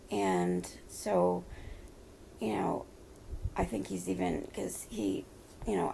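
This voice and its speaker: Hoarse, low, gravelly woman, 30s, rambling home vlogger, dark tone. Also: a little hiss or hum, low-quality recording. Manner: halting, rambling, many fillers. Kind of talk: personal confessional vlog.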